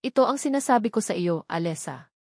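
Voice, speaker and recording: A woman's voice: mid-range voice, animated, a little fast, darkish tone, husky, no echo, studio quality. Wary young Filipino man, voiced by a woman, grumbling. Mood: worried.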